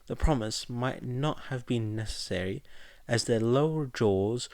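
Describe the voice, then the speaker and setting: A man reads scripted fiction as a narrator at an unhurried pace. His pitch is mid-range, and the recording is dry and free of room sound. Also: creaky, darkish tone, no echo. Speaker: podfic narrator.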